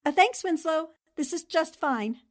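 A woman's voice: very high voice, lively intonation, measured pace. A woman playing a composed, polite female newspaper columnist in a radio drama. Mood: composed, curious.

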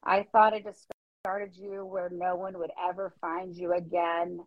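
A woman's voice: lowish voice, level tone, measured pace, dry recording. A woman speaking in an earnest, emotive way at a spoken-word open mic. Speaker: open mic poetry reader and participant. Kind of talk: dramatic poetry reading and casual discussion. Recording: muffled lo-fi dry audio.

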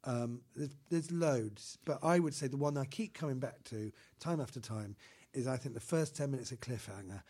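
A man's voice: medium pitch, normal speed, darkish tone, husky. Rambling enthusiastic man talking films. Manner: rambling, enthusiastic, many fillers and restarts. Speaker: podcast co-host. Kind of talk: casual chat about films.

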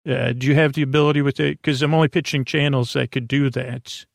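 Gravelly, rambling American man, bedtime podcast. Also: mid-range voice, fairly even intonation, no room sound, clean studio sound. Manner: rambling, halting, dreamy, whimsical. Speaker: podcast host. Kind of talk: meandering bedtime monologue to help listeners sleep.

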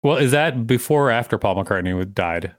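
A man's voice: medium pitch, expressive, a little fast, no room sound, clean studio sound. A man co-hosting a podcast, reading articles aloud and breaking into casual banter. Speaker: podcast co-host.

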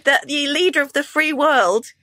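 A woman in her fifties co-hosting a relaxed podcast chat, talking freely and laughing easily. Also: high-pitched, normal speed, no echo. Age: 50s. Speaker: podcast co-host. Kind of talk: casual chat. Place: home living room.

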